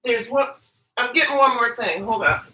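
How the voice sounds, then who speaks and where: A woman's voice: on the high side, animated, moderate pace, music in the background, rough recording. A woman with a Minnesota accent, chatting casually on a livestream, answering viewers in a rambling, self-interrupting way. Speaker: teacher and livestream host. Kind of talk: casual livestream chat, reading viewer comments.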